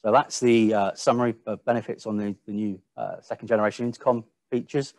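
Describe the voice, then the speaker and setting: A man giving a webinar-style product presentation, speaking a little haltingly and repeating the odd word. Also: fairly low pitch, steady tone, a little mellow, no echo.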